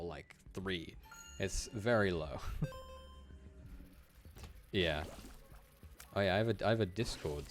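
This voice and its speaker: A young man from Wales with a general British accent and a low, deep voice. He keeps up a chatty, relaxed gameplay commentary, laughing often as he talks to his viewers from a room at home. Faint game music sits quietly behind him. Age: young adult. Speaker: game streamer.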